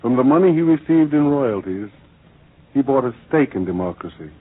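A man's voice: medium pitch, expressive, moderate pace, husky. A man delivering scripted narration in the style of a 1940s radio program. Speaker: narrator of a wartime radio drama. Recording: muffled old 1940s radio broadcast.

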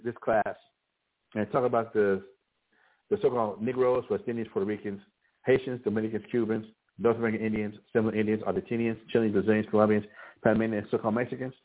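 A man teaching a scripture class, preaching in a halting way with many restarts and fillers. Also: fairly low pitch. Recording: phone-like narrow band.

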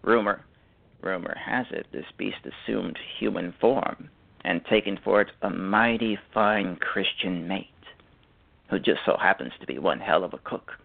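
Guy doing a melancholy middle aged dad, dry humor, halting and creaky, muffled radio play. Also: sits low, full character voice. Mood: mock ominous. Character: middle-aged father, gaunt, melancholy, dryly playful.

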